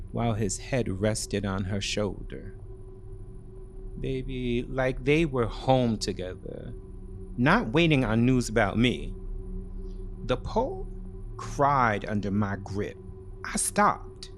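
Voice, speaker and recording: A man giving a dramatic first-person narration of a thriller story. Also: mid-range voice.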